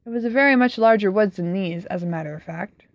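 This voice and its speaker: A woman reading the part of a kind, calm young man with a mild manner, on a slightly dull, dry recording. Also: mid-range voice, quick pace, dry recording, close to an everyday voice.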